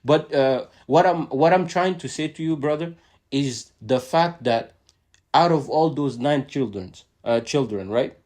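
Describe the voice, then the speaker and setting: A man with a mid-range voice, neither high nor low, talks earnestly on a podcast. English is not his first language. He often repeats words and keeps asking "right?" in a loose, conversational rhythm. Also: measured pace, a little mellow. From immigrant to Canada. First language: a language other than English or French. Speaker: podcast host.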